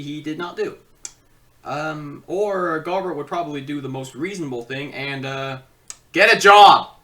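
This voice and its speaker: Animated woman reviewer, distant mic. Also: lowish voice. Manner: sarcastic, rambling asides. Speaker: video reviewer. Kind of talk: casual comedic review commentary.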